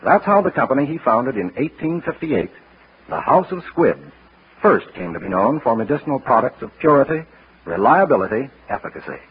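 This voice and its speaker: A man giving formal, measured 1940s radio announcements and sponsor copy. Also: mid-range voice, big pitch swings, slightly rough. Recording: tinny vintage radio broadcast.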